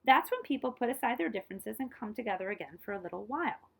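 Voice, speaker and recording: A woman's voice: a little high, expressive, quick pace, vocal fry, fairly bright tone, breathy edge, exaggerated voice. A woman voicing a storybook island grandmother who is firm about tradition but kindly, speaking in an instructive way. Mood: conciliatory.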